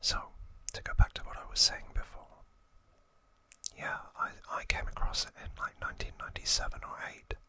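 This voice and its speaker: A man in his thirties speaking in a breathy, hushed whisper as an ASMR host, gently and with pauses. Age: 30s. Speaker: host of an ASMR video channel. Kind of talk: ASMR trivia talk, whispered.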